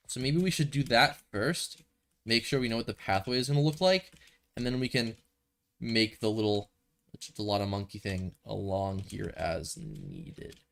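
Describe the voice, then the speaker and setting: Stuffy, rambling young gaming streamer. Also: male voice, fairly low pitch, moderate pace, creaky, a bit bright. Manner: rambling, thinks aloud, self-correcting. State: a little stuffy from a cold. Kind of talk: casual gameplay commentary.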